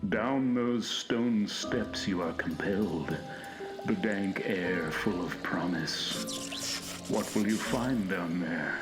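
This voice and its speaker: A man playing a sinister horror podcast host, inviting the listener into a monster lair in an ominous, drawn-out, theatrical gothic style. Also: low-pitched. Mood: ominous, enticing. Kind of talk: scripted gothic horror introduction. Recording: echoey room under loud music.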